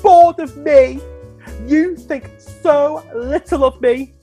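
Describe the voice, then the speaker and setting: A man's voice: very high voice, slow pace, mellow, soft background music, exaggerated voice. A British man playing a camp, petulant superhero sidekick in a comic radio play, theatrical and huffy. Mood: aggrieved, bitter. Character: camp, petulant young male superhero sidekick, aggrieved partner.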